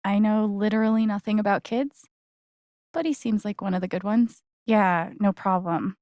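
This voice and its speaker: A woman's voice: medium pitch, normal speed, a little mellow, dry recording, close to an everyday voice. An actress playing a guarded, wry young woman in a video game, speaking in a casual, hesitant, understated way. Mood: friendly, curious. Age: young adult. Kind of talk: naturalistic video game voice acting.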